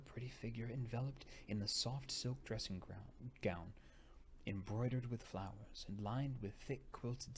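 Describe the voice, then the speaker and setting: A man with a fairly low voice reads a gothic tale aloud. His delivery is unhurried and theatrical, dipping toward a breathy hush. Some noise sits behind him. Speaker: audiobook reader. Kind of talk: expressive narration of a gothic novel. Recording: noticeable background noise.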